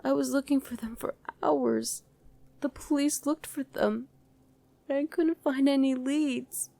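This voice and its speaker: Girl voice actor doing a guarded demon lady who used to be human, talks slow n halting, emotional, really dry intimate asmr roleplay. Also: sits high, fairly even intonation, gravelly, dark tone, dry recording. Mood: anguished. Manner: halting, emotional, speaks directly to one listener. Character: guarded female demon, once a grieving human mother.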